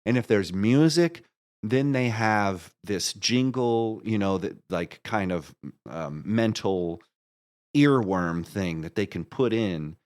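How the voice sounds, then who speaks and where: A man with a fairly low voice talks as a guest in a casual interview. He rambles and halts, often breaking off and starting his phrases again. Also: lively intonation, smooth. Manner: rambling, halting, self-interrupting. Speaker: interview guest, marketer and musical creator.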